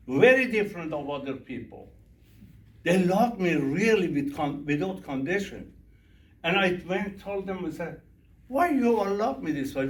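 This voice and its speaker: Slow-talking Persian-accented man, echoey room. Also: high-pitched. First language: Farsi. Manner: broken English, repeats questions to the audience. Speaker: minister. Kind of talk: workshop talk with audience questions. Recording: echoey room from a distance, faint noise.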